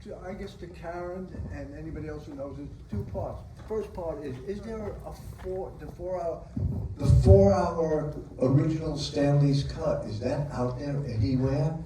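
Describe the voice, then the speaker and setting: A man in the audience at a theater Q&A asks a two-part question in quick, stumbling phrases. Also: fairly high pitch, crowd noise. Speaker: audience member. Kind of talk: asking a question at a Q&A. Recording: echoey theater hall, distant mic, noisy.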